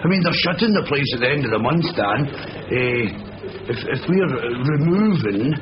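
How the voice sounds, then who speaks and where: A man's voice: medium pitch, animated, moderate pace, crowd noise, lightly acted. An actor playing a worried, plain-spoken working man who grumbles, in a 1970s radio drama. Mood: worried, grumbling. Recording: very noisy, muffled old radio broadcast.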